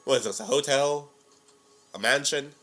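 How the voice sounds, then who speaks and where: A man's voice: mid-range voice, normal speed, bright, forceful tone, faint music behind. A man giving casual reaction commentary in short, wry interjections. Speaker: reaction host.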